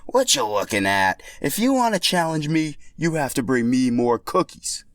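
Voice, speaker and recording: A man's voice: medium pitch, sing-song, moderate pace, no echo, studio quality, voice pushed up, natural voice. A man voicing a smug, lazy, greedy dinosaur bully in a storybook tale, curt in manner.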